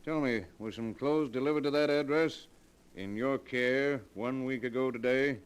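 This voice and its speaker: An actor playing a calm, exacting county coroner in a vintage radio drama, speaking deliberately. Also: male voice, mid-range voice, smooth, natural voice. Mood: measured. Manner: deliberate, measured. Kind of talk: 1940s radio acting.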